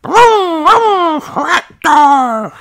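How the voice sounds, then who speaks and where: Man doing a squealing toddler piglet boy, very high, babyish, storybook. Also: sing-song, very slow, gravelly, a bit bright, full character voice. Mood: excited.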